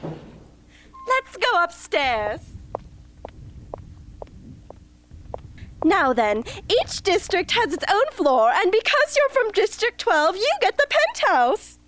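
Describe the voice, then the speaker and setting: Very high, chirpy, fussy escort. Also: female voice, big pitch swings, takes it slowly, a bit bright, slight background noise, exaggerated voice. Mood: bright, bossy. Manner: chirpy, theatrical. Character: bubbly, fussy, upbeat woman escort to young tributes. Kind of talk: amateur radio drama acting.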